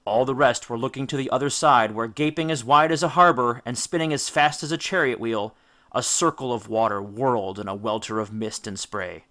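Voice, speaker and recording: Bright, forceful male storybook narrator. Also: mid-range voice. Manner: theatrical, emphatic, with deliberate dramatic stresses. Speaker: audiobook narrator. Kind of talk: dramatic scripted storybook narration.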